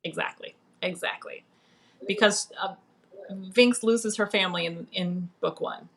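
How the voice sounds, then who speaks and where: A woman's voice: fairly low pitch, measured pace, vocal fry. Chatty, playful woman on a livestream. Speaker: author guest on a book live stream. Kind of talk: casual livestream chat.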